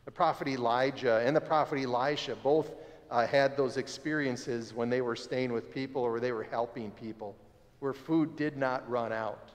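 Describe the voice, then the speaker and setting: Animated pastor preaching in a reverberant church. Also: male voice, mid-range voice, moderate pace. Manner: conversational, animated preaching with gestures. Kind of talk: sermon. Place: church sanctuary by the altar.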